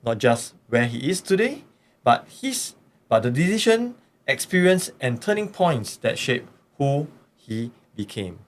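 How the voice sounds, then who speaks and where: A man's voice: medium pitch, big pitch swings, measured pace. A man presenting a scripted, promotional opening for a studio podcast. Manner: polished, promotional delivery. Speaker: show presenter.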